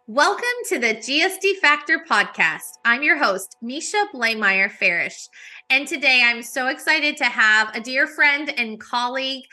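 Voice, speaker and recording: A woman's voice: high voice, lively intonation, measured pace, pushed and bright, faint music behind. A woman hosting a podcast interview, upbeat and enthusiastic as she introduces her guest. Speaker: podcast host.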